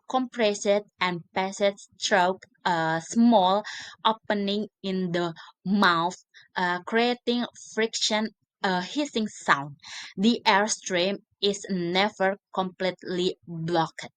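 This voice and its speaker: A young woman with an Indonesian accent, a native Indonesian speaker, giving a scripted student presentation in careful, halting, hesitant English. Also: mid-range voice, no echo. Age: young adult. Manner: halting, careful word-by-word delivery with frequent hesitations.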